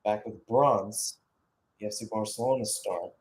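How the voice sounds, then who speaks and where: A man giving live radio-style football play-by-play, halting and correcting himself in places, then surging with the action. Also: lowish voice.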